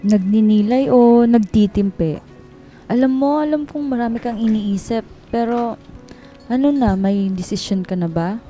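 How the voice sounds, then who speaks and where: A woman's voice: a little high, measured pace, warm tone, soft background music, lightly acted. An actress playing a supportive, concerned female office friend in a radio drama, chummy in manner.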